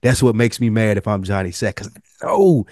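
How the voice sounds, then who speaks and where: A man with an American accent chatting casually as a podcast co-host, telling things in a slangy, profane, animated way. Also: fairly low pitch, a little fast, no room sound, studio quality. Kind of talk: casual TV review podcast chat.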